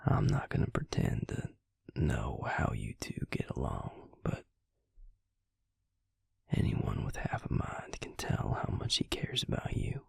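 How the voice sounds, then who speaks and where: Man playing a hushed, gravelly, protective vampire lover. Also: rumbling low, wildly swooping pitch, unhurried, dry recording, studio quality, heavily acted. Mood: gentle, apologetic. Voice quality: husky, breathy, gravelly near-whisper. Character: protective, devoted male vampire lover, gentle and patient. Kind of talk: intimate romantic audio roleplay.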